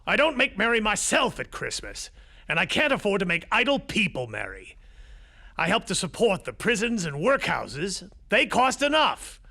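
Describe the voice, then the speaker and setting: A man's voice: high-pitched, wildly swooping pitch, normal speed, exaggerated voice. An actor playing a cold-hearted, miserly old businessman in an audio drama, curt and contemptuous. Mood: cold, scornful. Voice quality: creaky, sharp. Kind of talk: audio theatre acting.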